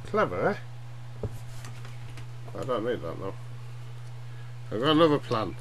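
Middle-aged male hobbyist, mid-pitched, talking through a demo haltingly and informally; homemade webcam sound with slight hum. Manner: halting, tag questions like "yeah?" and "eh?". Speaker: hobbyist radio demonstrator. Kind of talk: informal explainer vlog. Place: small cluttered room at home, indoors. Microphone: webcam at arm's length. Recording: noisy webcam audio with hum.

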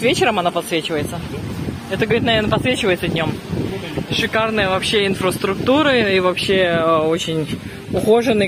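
A middle-aged woman narrating a casual family travel vlog in an effusive, exclaiming way. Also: medium pitch. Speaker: family vlogger filming and narrating. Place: resort grounds, corridors and poolside. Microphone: handheld phone camera. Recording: noisy handheld travel vlog recording.

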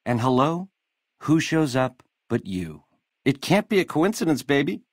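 An actor playing a slick, swaggering casino gangster, cocky and glib, in a video game full of 1950s lounge slang. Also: male voice, fairly high pitch, sing-song, measured pace, no room sound, studio quality. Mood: persuasive, slick.

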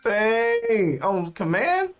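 A man's voice: high-pitched, sing-song, very slow, faint music behind. A young American man chatting casually and slangily as he reacts to a show. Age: young adult. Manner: slangy, informal. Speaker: anime reaction host. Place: small indoor room, seated.

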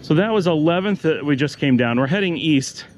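A man's voice: on the high side, a little fast, slight background noise. A middle-aged man casually narrating a walking tour, pointing out houses and shops as he strolls outdoors on neighborhood streets. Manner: relaxed, pointing things out as he walks. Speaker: vlogger giving a walking tour.

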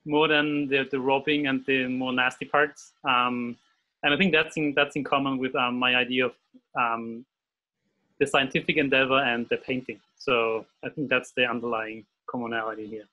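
A man's voice: medium pitch, fairly even intonation, normal speed. A man in his forties from Austria with an Austrian German accent, talking as a guest in a casual interview over a video call, hesitant, with many fillers and restarts. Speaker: guest illustrator. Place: home room at a desk. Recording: dull video call audio.

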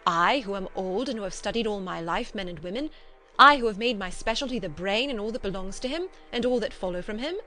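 A woman's voice: mid-range voice, animated, a little fast, pushed and bright. Kindly, solemn old foreign doctor played by a woman, effusive and emphatic, courtly broken English, storybook style, music behind. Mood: earnest, emphatic.

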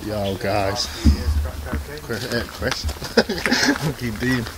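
A man's voice: medium pitch, sing-song, very slow. A man vlogging an urban exploration, casually narrating to viewers and repeating his phrases as he walks through an abandoned site. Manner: keeps addressing viewers as guys, repeats phrases. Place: outdoors at night, then an abandoned factory. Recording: loud background noise, outdoor night.

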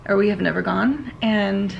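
A woman's voice: mid-range voice, moderate pace, vocal fry, dull audio. A woman in her thirties chatting playfully to her viewers on a handheld camera, holding forth about dinner. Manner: playful, bubbly, addresses viewers directly. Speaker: vlogger. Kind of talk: casual vlog chat to camera. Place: busy restaurant, indoors. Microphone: handheld camera at arm's length. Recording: restaurant noise behind. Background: music and crowd chatter.

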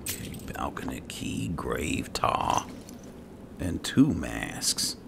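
A man's voice: extremely low pitch, big pitch swings, takes it slowly. A man muttering to himself in casual commentary as he plays and roleplays a fantasy game. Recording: clear background noise, game ambience.

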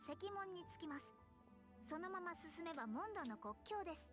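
A woman playing a proud, polite young astrologer with a prim, sharp tongue, in Japanese anime game style. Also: extremely high pitch, lively intonation, moderate pace, music in the background. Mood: confident. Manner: polite, precise, haughty.

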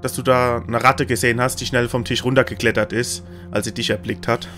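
A man's voice: mid-range voice, flat delivery, brisk, a bit bright. A man improvising narration as a game master, hesitating and searching for words. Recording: clear guitar music behind the voice. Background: plucked guitar music.

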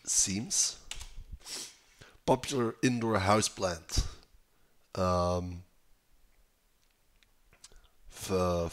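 A young man with a raspy voice, thinking aloud and muttering as he works through a crossword in a small room, with keyboard clicks behind him. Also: fairly low pitch. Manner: mutters, hesitates, trails off while thinking. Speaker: puzzle video host. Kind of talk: casual think-aloud while solving a crossword.

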